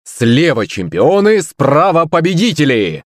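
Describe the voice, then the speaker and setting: A man voicing a bombastic, excitable stage show host in an audiobook, showy and proclaiming. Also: fairly high pitch, measured pace, dry recording, clean studio sound, pitched above the performer's own voice. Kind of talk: audiobook character voice.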